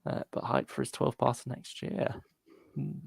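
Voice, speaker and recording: A man's voice: mid-range voice, flat delivery, normal speed, vocal fry, breathy edge, no room sound. A man chatting casually as co-host of a fan discussion show, thinking aloud a little hesitantly. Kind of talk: casual chat, reading out viewer comments.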